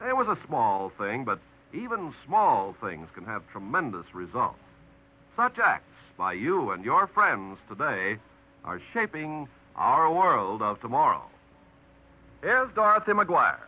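Old-time radio host guy, bouncy lively announcer voice, kinda muffled broadcast. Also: mid-range voice, slow. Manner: polished, lively storytelling delivery.

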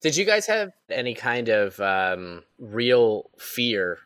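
A man with a bright, forward voice of average pitch speaks earnestly and a little haltingly in a casual panel chat. The recording is close and dry. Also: big pitch swings, dry recording. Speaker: actor and player on an audio drama podcast.

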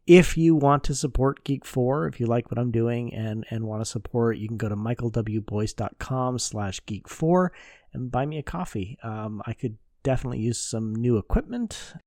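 A man's voice: mid-range voice, moderate pace, darkish tone, no room sound, studio quality. A man hosting a podcast, talking casually and informally as he introduces and interviews.

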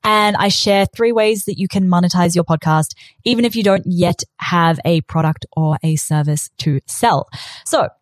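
A woman's voice: mid-range voice, no echo. A young woman with a New Zealand accent hosting a casual solo podcast in an upbeat, chatty, self-assured way. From South Africa, raised in New Zealand. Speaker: podcast host. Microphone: studio podcast microphone.

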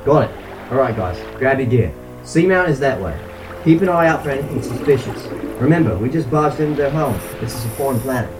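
A man's voice: medium pitch, animated, fairly quick, distant mic, music in the background, close to an everyday voice, low-quality recording. A man playing a wary, commanding leader of a diving team in an old-style radio drama. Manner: commanding, businesslike. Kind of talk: 1930s-40s style radio drama acting.

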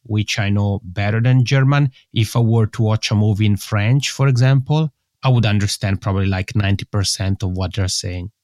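A man's voice: fairly low pitch, darkish tone, no echo. Swiss Italian man, dry close sound. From Italian-speaking Switzerland. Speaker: podcast guest. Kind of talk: conversational interview answers.

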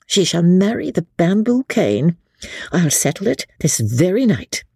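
A woman's voice: lowish voice, very animated, a little slow, vocal fry, dark tone, breathy edge, no echo, studio quality, voice pushed up, heavily acted. A woman voicing a worldly, scheming young woman who scolds with contemptuous scorn.

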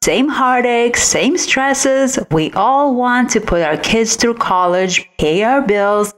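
A woman's voice: on the high side, lively intonation, slow pace. A woman speaking as an expert guest in an interview, explaining advice in a conversational way. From originally from outside the United States. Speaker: guest, visual branding expert.

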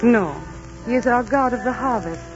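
A woman's voice: fairly high pitch, normal speed, natural voice. An actress playing a devout, tender young Aztec princess resigned to her fate, in earnest, formal 1940s radio romance style. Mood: solemn, resigned. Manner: earnest, melodramatic, formal diction. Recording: dull 1940s radio recording, music behind.

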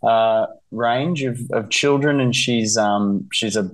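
A man who is a musician guesting on a podcast, talking casually and haltingly, often repeating words as he restarts. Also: mid-range voice, low-quality recording.

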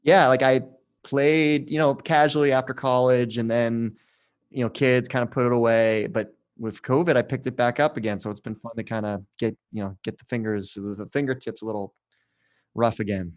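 A man's voice: mid-range voice, steady tone, normal speed, highs cut off. A middle-aged man hosting a podcast interview, chatting in a conversational, loosely phrased way. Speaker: podcast host. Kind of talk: casual interview chat.

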